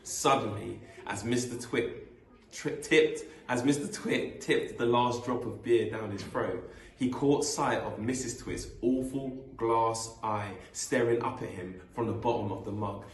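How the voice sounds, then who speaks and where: A man reading a children's story aloud in an expressive, deliberate storytelling manner. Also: medium pitch. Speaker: school vice principal reading a children's story. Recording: echoey room.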